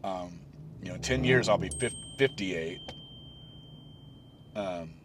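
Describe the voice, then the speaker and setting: 40s guy musing on his podcast, lowish voice, keeps restarting, faint car hum. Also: takes it slowly. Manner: rambling, many restarts and fillers. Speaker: podcast host. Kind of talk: solo reflective podcast talk.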